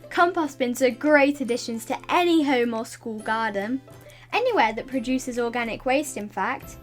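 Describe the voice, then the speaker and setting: A woman reading a scripted how-to voiceover, giving clear step-by-step instructions as the narrator of an instructional video. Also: on the high side, moderate pace. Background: background music.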